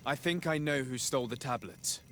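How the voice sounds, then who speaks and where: An actor playing a composed, firm envoy in a video game, polite, formal and direct. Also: male voice, medium pitch, a little fast, a bit bright, slight background noise, lightly acted. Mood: probing. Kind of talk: video game cutscene acting.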